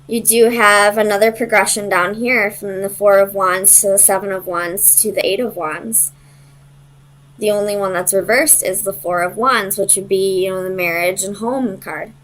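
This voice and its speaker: A woman's voice: mid-range voice, fairly even intonation, normal speed, a bit bright, rough recording. A woman giving a casual, conversational tarot reading, hesitant as she thinks aloud and searches for her words. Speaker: tarot reader.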